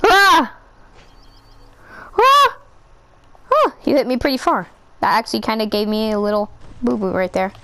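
Woman doing a boastful old goat-turned-T-Rex, high, taunting, dry close sound, game machinima. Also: animated, measured pace, pauses often, pushed and bright, no echo, full character voice. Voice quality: high-pitched voice. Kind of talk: amateur game machinima voice acting.

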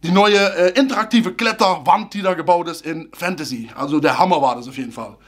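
A man, chatting casually to camera as a theme park vlogger in a small room, animated and a little loose with grammar. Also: high voice, a little fast. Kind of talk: casual vlog talk to camera. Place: small room full of fan merchandise, indoors. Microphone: camera at close range.

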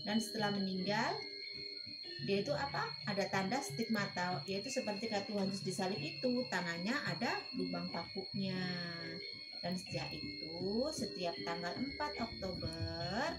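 A middle-aged woman tells a story informally, in colloquial, halting phrases with frequent filler words. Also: mid-range voice, expressive, loud music behind the voice, rough recording. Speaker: mother telling a story for a kindergarten storytelling contest. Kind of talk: informal, unscripted storytelling to a child. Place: small room at home, indoors.

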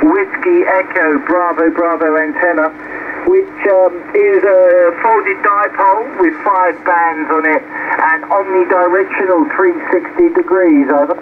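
A man's voice: high voice, narrow, tinny audio. A man making amateur radio contact and reading phonetic call signs, heard over shortwave radio. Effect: received over shortwave radio. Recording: noisy band-limited shortwave radio reception. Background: radio static.

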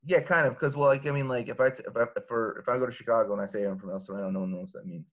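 A man's voice: mid-range voice, fairly even intonation, racing, highs cut off. A man in his thirties from Los Angeles, a guest author talking casually over a video call from his living room, rambling with many fillers and restarts. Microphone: laptop webcam video call.

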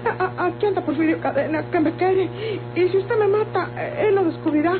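Very high-voiced frightened Mexican woman. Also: fairly quick. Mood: terrified pleading. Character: frightened pleading young woman, defiant when cornered. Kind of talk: old Mexican radio drama acting. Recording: tinny vintage radio recording, music behind.

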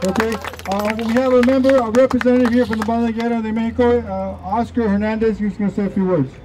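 A man acting as emcee, introducing speakers at an outdoor rally in a brisk, upbeat way. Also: very high-pitched, steady tone. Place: outdoors in a park. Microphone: handheld mic. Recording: noisy outdoor recording, off-mic.

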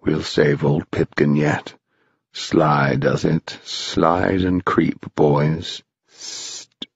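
A man voicing a sly, dry old spirit guide in a storybook, commanding and conspiratorial, with a hushed, deliberate manner. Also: rumbling low, steady tone, gravelly, breathy edge, no echo, highs cut off, pitched below the performer's own voice, exaggerated voice. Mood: cautioning, wry. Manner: hushed, deliberate, addressing boys as lads.

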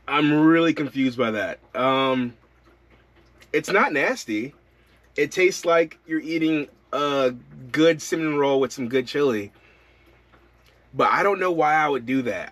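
A man in his thirties talks casually to camera from inside his camper van. His voice sits at an ordinary middle pitch, and he rambles along in an easygoing way, full of likes and ums. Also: dry recording. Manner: relaxed, rambling, with frequent fillers. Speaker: van-life vlogger.

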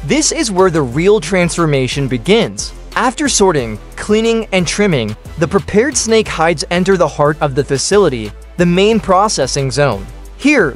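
Lively high-voiced male documentary narrator. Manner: steady explanatory delivery in short listed phrases. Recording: voice-over with faint background music.

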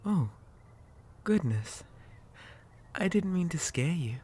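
A man playing a creepy, possessive living doll boy in an ASMR roleplay, soft and often whispering, with gentle, unsettlingly polite childlike sweetness. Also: on the high side, big pitch swings, takes it slowly, husky, heavily acted. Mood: eerily sweet.

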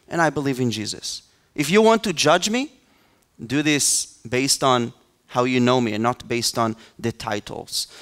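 A young adult man with an Israeli accent speaks as an interview guest. His mid-pitched voice is smooth and very clear, and his delivery is conversational. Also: a bit bright. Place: large hall stage, indoors. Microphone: headset.

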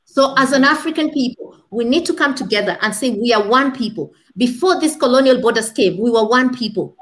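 Emphatic high-voiced woman on a video call. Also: animated, fairly quick, fairly bright tone. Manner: emphatic, rhetorical questions, repeats for stress. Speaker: panel guest. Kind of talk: impassioned commentary on a video call. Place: small room indoors. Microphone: laptop webcam video call.

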